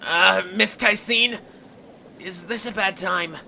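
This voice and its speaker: A man voicing a timid creature sidekick in an audio story, creaky and stammering, with a hesitant manner. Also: high voice, takes it slowly, bright, forceful tone, slight background noise, full character voice. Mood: nervous, meek.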